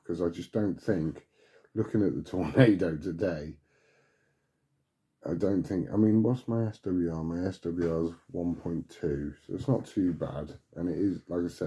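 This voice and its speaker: Man, deep voice, very muffled dark tone, casual musing, hobbyist radio chat. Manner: musing, thinking out loud. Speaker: CB radio hobbyist asking for advice.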